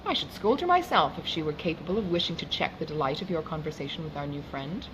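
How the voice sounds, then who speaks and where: A gentle middle-aged mother from an audiobook novel, put on by a woman reader, speaks tenderly and soothingly. Her intonation rises and falls a lot, and there is clear noise in the recording. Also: sits low, expressive, fast. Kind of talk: audiobook character dialogue.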